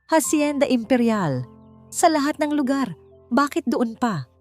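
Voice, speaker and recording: A woman's voice: sits high, sing-song, a little slow, darkish tone, faint music behind, voice pushed up. A woman voicing an anxious young male investigative reporter in a story, speaking in an urgent, dramatic manner. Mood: alarmed.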